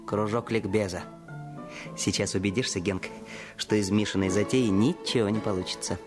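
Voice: low voice, fairly even intonation, normal speed, music in the background, natural voice. A performer playing a sceptical, know-it-all schoolboy in a radio play, with a dry, superior manner. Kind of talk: Russian radio play acting.

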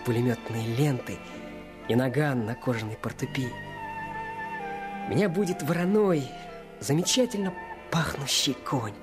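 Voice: mid-range voice, moderate pace, pauses often, music in the background, natural voice. A performer playing a curious, dreamy boy who longs to be a soldier, wondering and wistful, in a Russian radio play.

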